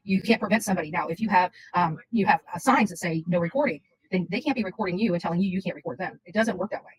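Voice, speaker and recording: Woman, casual streamer type, raspy and extremely rapid talker, insistent and blunt. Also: fairly low pitch, dry recording, poor audio. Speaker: livestreamer. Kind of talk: casual livestream chat.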